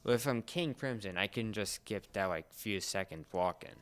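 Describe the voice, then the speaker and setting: A man co-hosting a casual talk show, explaining things haltingly and searching for words. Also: lowish voice. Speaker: co-host of an entertainment talk show. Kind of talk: casual explanatory chat about anime.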